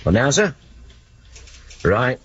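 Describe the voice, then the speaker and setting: A man's voice: medium pitch, big pitch swings, slow, slight background noise. A man playing a dry, brusque police inspector in a 1970s radio drama, with a blunt, informal manner. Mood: dry, sardonic. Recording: dull lo-fi 1970s radio recording.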